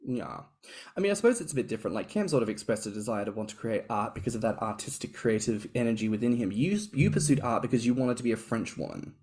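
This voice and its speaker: A man's voice: medium pitch, expressive, darkish tone. A man hosting a podcast, chatting casually and joking with his guests, often restarting his sentences. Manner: chatty, joking, quick to tease, frequent restarts. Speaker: podcast host.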